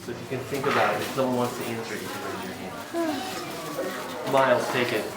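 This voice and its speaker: A man's voice: medium pitch, fast. A male teacher hosting a casual classroom Q&A, encouraging and calling on children by name. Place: indoor event room with crowd. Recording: echoey room, loud background noise.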